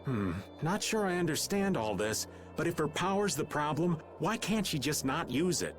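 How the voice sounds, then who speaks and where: Casting: well-meaning, simple-talking adventurer in a game, lightish lively voice over music. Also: male voice, fairly high pitch, normal speed. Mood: puzzled. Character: puzzled, plain-spoken, well-meaning male party member.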